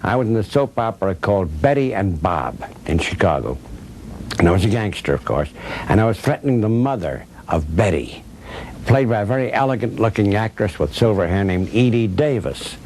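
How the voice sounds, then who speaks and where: An older man with a fairly low voice tells a story like a seasoned raconteur. He phrases things deliberately, with a wry, theatrical touch. The recording has clear background noise and a low rumble. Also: expressive, darkish tone. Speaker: former radio actor, interviewee. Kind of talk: anecdotal storytelling.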